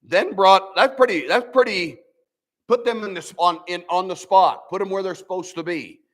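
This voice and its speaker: Nasal older pastor, higher voice. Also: male voice, normal speed. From American Midwest. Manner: animated, folksy preaching with many restarts. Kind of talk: sermon. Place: church.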